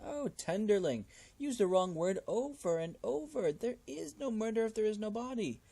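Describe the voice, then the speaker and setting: A man voicing a gentle, menacing female mutant cannibal in a game, crooning and deliberate. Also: high-pitched, animated, gravelly, pitched above the performer's own voice, full character voice. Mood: crooning, patient.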